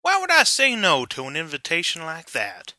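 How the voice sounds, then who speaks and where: A man's voice: on the high side, huge pitch swings, normal speed, pushed and bright, no echo, clean studio sound, exaggerated voice. A man playing a hot-tempered, blunt and domineering husband with a brash, coarse manner in an amateur radio play. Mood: insistent.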